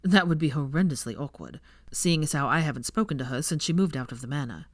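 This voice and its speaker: Woman voicing a low, drawling, sardonic young wizard man. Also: lively intonation, quick pace, no room sound, clean studio sound. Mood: dry, wry. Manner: drawling, mocking, sweary.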